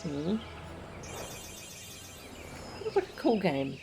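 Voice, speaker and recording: A woman's voice: lowish voice, huge pitch swings, extremely slow, creaky, background noise. A woman livestreaming a video game, chatting and commenting as she plays. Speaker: game streamer. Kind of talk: livestream gameplay commentary, reading dialogue aloud.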